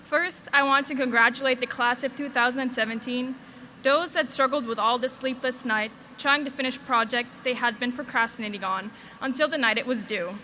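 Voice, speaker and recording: Young woman from Switzerland, valedictorian, earnest and wry, high and bright. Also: steady tone, moderate pace, a little hiss or hum, rough recording. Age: young adult. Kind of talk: graduation speech.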